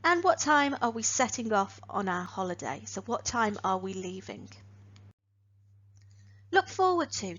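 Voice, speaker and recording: A woman teaching phrasal verbs in an online lesson. She speaks in a clear, deliberate way and lifts each new phrasal verb. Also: medium pitch, a bit bright, highs cut off. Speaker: English teacher.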